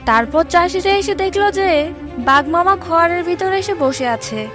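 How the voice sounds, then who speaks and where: A woman's voice: extremely high pitch, expressive, normal speed. A woman narrating a scripted fable for children as a storyteller. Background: music.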